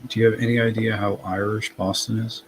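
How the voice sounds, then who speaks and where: A man's voice: lowish voice, flat delivery, a little fast, slight background noise, poor audio. A man with a light Massachusetts accent, a guest in a casual live chat, correcting people in a plain, matter-of-fact way.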